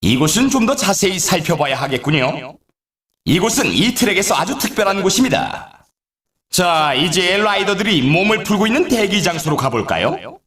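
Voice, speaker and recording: A man's voice: sits high, big pitch swings, moderate pace, a bit bright. A man playing an upbeat, showy sports announcer in a video game, with polished, scripted commentary delivery. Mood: presenting. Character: male snowboard race announcer, upbeat and showy. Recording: echoey, distant-sounding game audio.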